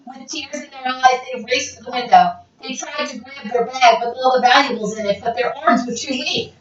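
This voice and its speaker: A woman reading a children's picture book aloud in a theatrical, expressive, playful way. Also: sits high, moderate pace, a crowd in the background. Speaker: read-aloud storyteller. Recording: roomy, dull lo-fi, distant mic.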